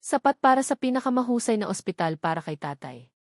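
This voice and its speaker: A woman's voice: fairly high pitch, sing-song, quick pace, a little mellow, no room sound. Dry-sounding woman narrating a drama. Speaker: story narrator. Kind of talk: scripted dramatic love-story narration.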